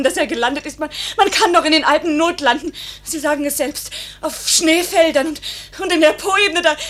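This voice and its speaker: An actress playing a pilot's anguished young wife in a 1950s German radio drama, pleading and accusing in a halting, emotional, breathy delivery. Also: female voice, very high voice, fairly bright tone. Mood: desperate, frantic. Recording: hissy 1950s radio recording, faint hum.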